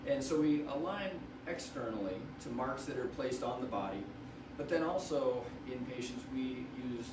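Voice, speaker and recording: A man, a radiation oncologist, explaining treatment to patients in a measured way, slightly hoarse and often restarting his phrases. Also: medium pitch. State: hoarse from wildfire smoke. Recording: roomy, from far off, noisy.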